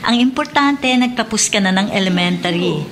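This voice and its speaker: A woman's voice: medium pitch, animated, moderate pace, a bit bright, natural voice. An actress playing a jolly, encouraging Filipino woman teacher in a radio drama. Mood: cheerful, proud. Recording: crowd murmur behind.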